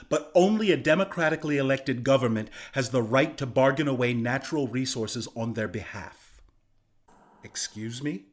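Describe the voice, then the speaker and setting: An actor playing an earnest, friendly young rebel leader in a video game, speaking in a sincere, conversational way. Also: male voice, mid-range voice, close to an everyday voice. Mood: wary, negotiating. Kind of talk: video game character dialogue.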